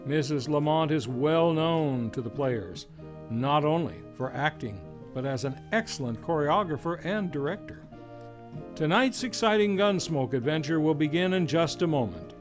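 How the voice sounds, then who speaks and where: Male announcer, higher voice, scripted old-time radio show introductions with music behind. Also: expressive, moderate pace.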